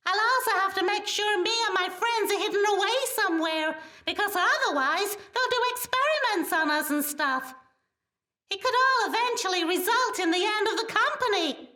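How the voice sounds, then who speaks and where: Squeaky-high earnest talking rat. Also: female voice, expressive, moderate pace, bright, forceful tone, full character voice. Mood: resolute, worried. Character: brave, remorseful talking anthropomorphic rat, creation of evil boss. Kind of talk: audio play acting. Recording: echoey, distant from the mic.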